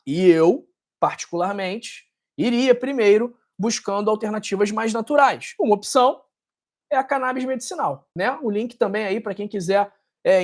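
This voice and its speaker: A man with a Carioca accent from Rio de Janeiro, a doctor talking to camera in a direct, scolding way, ending many sentences with a tag question. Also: sits high. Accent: Carioca Brazilian Portuguese. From Rio de Janeiro, Brazil. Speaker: doctor and health channel host. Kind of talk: casual talk to camera, answering viewer comments.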